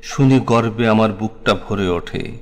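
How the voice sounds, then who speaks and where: A man's voice: on the low side, a little slow, a little hiss or hum. A man with a Bangladeshi accent reciting a scripted memoir in a solemn, dramatic, literary way. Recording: long hall-like reverb.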